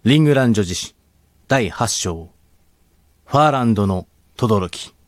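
A man's voice: on the low side, lively intonation, measured pace, no room sound, clean studio sound. A man playing a solemn narrator of an epic fantasy war, declaiming a scripted dramatic narration.

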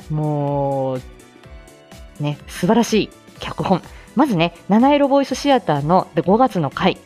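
A woman's voice: mid-range voice, darkish tone. A woman hosting a live morning audio show, chatting casually and reading out announcements in a bubbly manner that moves between cute and polite.

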